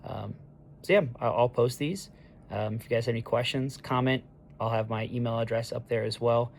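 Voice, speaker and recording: A man in his thirties with a hoarse voice the day after neck surgery, talking through a casual video diary in a halting way, full of ums and restarts. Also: mid-range voice, slight background noise. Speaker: patient sharing a recovery diary. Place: hospital room on discharge day.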